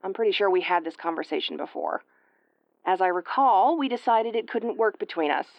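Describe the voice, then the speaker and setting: A woman's voice: mid-range voice, a little fast, gravelly, no room sound, close to an everyday voice. A tough tomboyish starship commander, played by a woman in a sci-fi audio roleplay. She is brusque and prickly with a caring streak, tripping over words when flustered. The sound is very dry and slightly muffled. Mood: guarded, teasing. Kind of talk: intimate audio roleplay acting.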